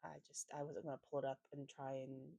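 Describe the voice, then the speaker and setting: A woman with a slightly raspy voice giving a casual craft voiceover, rambling hesitantly and often correcting herself as she goes. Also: very deep voice, level tone, brisk, warm tone, no echo, muffled recording. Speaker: planner vlogger and host.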